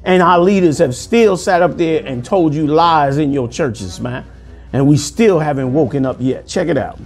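A man in his forties preaching commentary over news clips, forceful and sermonizing, calling listeners "man", recorded indoors on a lapel mic. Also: a little high, normal speed, faint music behind. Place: indoor room.

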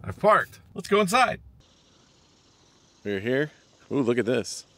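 A man's voice: mid-range voice, big pitch swings, slow, fairly bright tone. A man hosting a casual vlog at an outdoor school event, chatty and upbeat, cheering the kids on. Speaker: vlog host. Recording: outdoor crowd noise with music behind. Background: crowd of kids and music.

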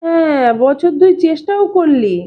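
A concerned, prying older brother-in-law played by a woman in an audiobook. The voice is high, familiar and coaxing. It sounds thin, as if over a phone. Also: animated, a little slow, muffled recording. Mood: probing. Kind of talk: dramatized audiobook reading.

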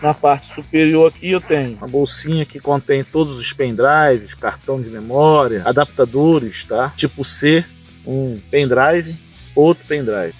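A middle-aged man from Brazil walks through his everyday carry kit item by item, outdoors at a yard table. His voice is husky, and he keeps checking in with the viewers as he explains. Faint music plays underneath. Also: medium pitch, a little slow. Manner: explains each item, often adds "tá, gente?". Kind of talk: casual show-and-tell.

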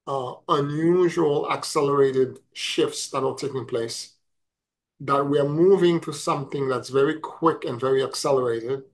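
A middle-aged man teaching on spiritual practice over a video call, sounding nasal from a head cold, with frequent fillers and restarts. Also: on the high side. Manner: slow and deliberate, many fillers and restarts. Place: home room with a virtual background. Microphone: webcam video call.

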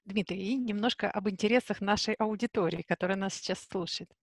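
A woman moderates an online talk, putting the audience's questions to the guest at a measured pace. Her voice is a little breathy, and it sounds close and dry with no room echo. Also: mid-range voice. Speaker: host, moderator.

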